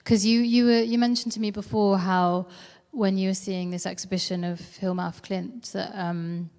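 A woman's voice: mid-range voice, little pitch movement, moderate pace, darkish tone. A woman hosting a stage interview into a handheld mic, friendly and a little hesitant, with many ums. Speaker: interview host. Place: large indoor event space at a museum, on stage. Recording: long hall reverb.

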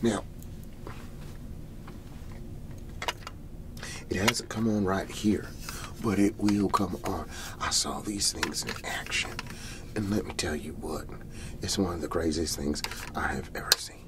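A man playing a folksy, excitable garage-sale salesman in an ASMR roleplay, whispery and breathy, rambling and repeating his hard sell. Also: sits low, normal speed, very noisy, lightly acted. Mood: eager, confiding. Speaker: host playing a character.

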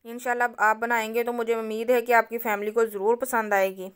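A woman talks viewers through a recipe, one step at a time. Her voice sits a little high and stays fairly even, with a smooth, clear tone. She explains each step calmly, like a patient cooking host. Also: a little fast. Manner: calm, instructive, addresses viewers directly.

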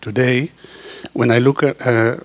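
A man reads an earnest prepared speech into a handheld microphone. His delivery is halting, with frequent pauses and restarts, and his pitch sits at an ordinary middle level for a man. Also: level tone. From Chile. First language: Spanish. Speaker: osteopath giving a testimonial. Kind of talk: reading a prepared personal speech. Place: indoor meeting room.

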